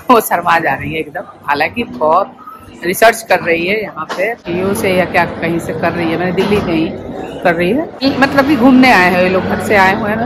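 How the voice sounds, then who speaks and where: A woman's voice: on the low side, noisy background. A retired woman in her sixties with a chatty manner, vlogging casually to viewers about family life and an upcoming trip, recorded on a handheld phone. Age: 60s. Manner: chatty, talks to viewers and the grandchild. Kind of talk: casual family vlog narration. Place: home and shopping mall, indoors. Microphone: phone held at arm's length.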